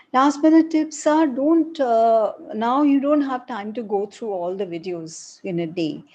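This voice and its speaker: A middle-aged woman professor with an Indian English accent and a breathy voice, answering student questions in a live online session with frequent hesitations. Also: on the high side, warm tone. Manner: explanatory, repeats points with hesitations.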